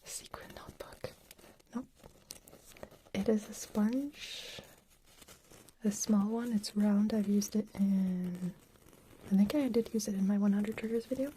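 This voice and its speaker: A young woman whispering softly as she hosts an ASMR livestream, chatting with viewers while making trigger sounds. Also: mid-range voice, fairly even intonation, a little slow, pauses often, slight background noise, poor audio.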